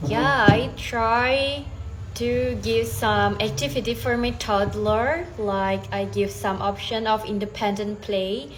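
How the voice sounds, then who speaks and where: Slow, hesitant Indonesian woman. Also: a little high, steady tone, noisy background. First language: Bahasa Indonesia. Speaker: mother practicing English. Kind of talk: casual conversation practice.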